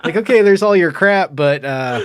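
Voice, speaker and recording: A man's voice: a little high, lively intonation, normal speed, clean tone, no room sound, studio quality. Rambling, jokey male podcast host. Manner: rambling, self-deprecating, jokey. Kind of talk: casual interview chat.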